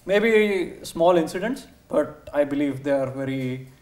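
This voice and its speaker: A man's voice: on the high side, lively intonation, unhurried, darkish tone. A young man from India with an Indian English accent, a native Kannada speaker, chatting hesitantly as a guest on a TV studio set. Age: young adult. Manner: hesitant, thinks aloud with many uh's. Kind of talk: casual studio chat. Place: TV studio set, seated on sofa.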